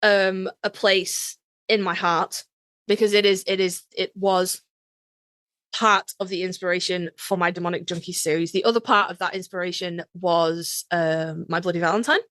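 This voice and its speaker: A woman co-hosting a podcast, chatting casually as a fan in an animated, emphatic, joking way with plenty of swearing. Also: lowish voice, moderate pace, no echo. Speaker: podcast co-host. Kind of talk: casual fan chat about a TV series.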